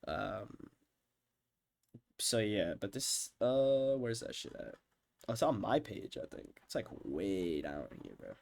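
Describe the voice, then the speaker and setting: A young man with a stuffed-up, nasal voice from being sick, rambling casually with profanity and lots of fillers, often trailing off quietly while he ranks his own tracks. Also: fairly low pitch, big pitch swings, slow, gravelly. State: congested, sick with stuffed-up nose. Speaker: music producer ranking his own songs. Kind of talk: rambling casual screen-share commentary.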